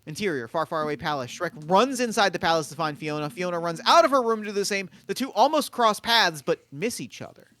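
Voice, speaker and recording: A man narrating stage directions at a live table read in a brisk, plain delivery. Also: sits high, animated, sharp tone.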